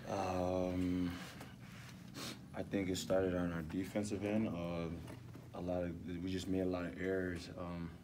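A young man in his twenties, a college basketball player, answering postgame questions softly and hesitantly with many ums. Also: low voice, level tone, slow. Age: 20s. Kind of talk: postgame press interview. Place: indoor arena hallway with block walls. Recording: distant mic, background noise.

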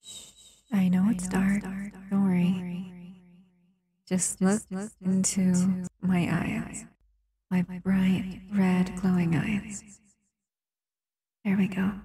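A woman voicing a doting, seductive female shadow monster in an intimate ASMR roleplay, breathy and soothing, often whispering. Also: mid-range voice, level tone, drawn-out words, long pauses, mellow. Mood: soothing, alluring.

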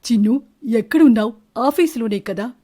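A woman's voice: a little high, normal speed, mellow, lightly acted. A woman voicing a suspicious male bird. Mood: questioning. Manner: confrontational, questioning. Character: suspicious, wronged male bird husbands and lovers, accusing. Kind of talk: animated Telugu moral-story dialogue.

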